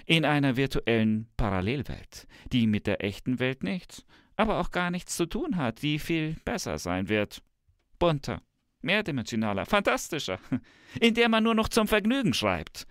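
A man plays a tech-loving professor in a satirical two-hander, teasing and grand. His pitch moves a lot as he stretches words for theatrical effect. The sound is close and dry, with little room in it. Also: big pitch swings, no room sound. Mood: grandly enthusiastic. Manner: pompous, theatrical, drawn-out emphasis. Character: smug, tech-enthused male professor, grandly visionary and teasing. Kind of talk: scripted satirical dialogue.

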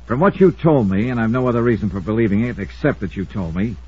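A hard-boiled American investigator from a vintage radio serial, commanding and brisk. His voice is husky and swings expressively in pitch. The recording is muffled and old. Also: animated, darkish tone. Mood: accusing, forceful. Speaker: lead actor and narrator of a radio detective serial. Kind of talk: old-time American radio drama acting.

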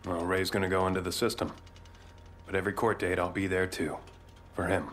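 A wry American marshal in a video game. His voice is very low with a forceful edge, and he talks in a folksy, salty, joking way over some ambient noise. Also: level tone, brisk. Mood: dry, matter-of-fact. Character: laid-back wry male bail marshal handing out bounties.

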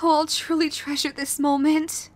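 A woman's voice: sits high, flat delivery, moderate pace, no room sound, voice pushed up, lightly acted. A woman playing a sweet, clingy, anxious young yandere girlfriend in a romantic audio roleplay, with a stammering, gushing manner. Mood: lovestruck. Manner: stammering, breathy gushing, apologetic.

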